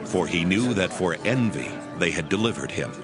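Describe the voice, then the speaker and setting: A man narrating scripture in a steady, formal reading style. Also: low-pitched, normal speed. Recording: narration over music.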